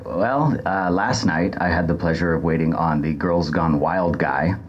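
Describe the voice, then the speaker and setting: An American man telling stories on a comedy podcast in a sardonic, halting, casual way. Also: deep voice, highs cut off, noisy background. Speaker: podcast guest storyteller. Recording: live crowd in background.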